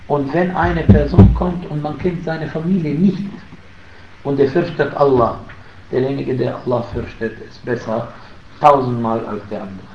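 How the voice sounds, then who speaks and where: Guy giving a religious talk, gets loud and emphatic, echoey muffled room. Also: mid-range voice, a little hiss or hum. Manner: emphatic, rising loud on key points. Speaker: Islamic teacher. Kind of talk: religious lesson commentary. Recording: roomy, muffled, faint ambience.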